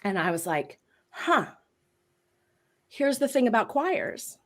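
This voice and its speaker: A midlife woman with a big voice, telling her story as a voice coach in a casual interview, lively and laughing often. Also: a little high, takes it slowly. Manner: expressive storyteller with bursts of laughter. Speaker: voice coach and guest.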